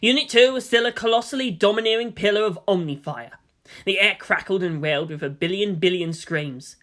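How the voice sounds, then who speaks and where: A man's voice: high voice, brisk, bright, forceful tone. A man giving a dramatic scripted narration of a fan fiction story.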